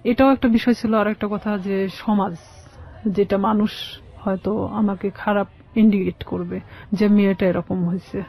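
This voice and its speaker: A Bangladeshi woman giving personal testimony as an interview guest, in a halting, plain-spoken way. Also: medium pitch, steady tone, creaky, a little mellow. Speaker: guest telling her life story. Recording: dull, muffled lo-fi sound.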